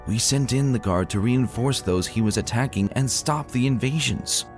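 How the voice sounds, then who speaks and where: A man voicing a gentle, sorrowful female pony ruler, an older aunt, speaking in a solemn, measured way in a dramatic reading. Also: medium pitch, soft background music, natural voice. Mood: gently firm. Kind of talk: dramatic fan fiction reading.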